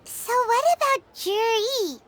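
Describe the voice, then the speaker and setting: A woman voicing a small, childlike, curious companion creature in a video game, with a squeaky, eager delivery. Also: lively intonation, takes it slowly, a bit bright, exaggerated voice. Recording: background music over the dialogue.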